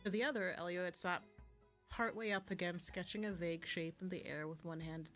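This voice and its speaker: Bright-voiced woman reading a game aloud. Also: on the low side, steady tone, a little fast. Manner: slangy, casual, unpolished. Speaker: video game streamer. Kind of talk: reading a visual novel aloud with casual reactions. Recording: music playing behind the voice. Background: game music.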